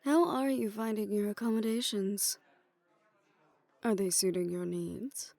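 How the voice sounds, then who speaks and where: She is the poised female manager of a secretive hotel for assassins, acted by a woman in an audio roleplay. She speaks slowly and formally, every courtesy edged with condescension, in a close, dry recording. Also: mid-range voice, gravelly, a little mellow, no echo. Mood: gracious, smooth. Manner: formal, measured, politely condescending. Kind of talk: scripted audio roleplay addressed to the listener.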